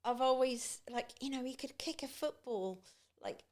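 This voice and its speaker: A woman's voice: high-pitched, normal speed. A woman guest on a podcast, talking casually with many restarts and fillers. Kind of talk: casual conversation.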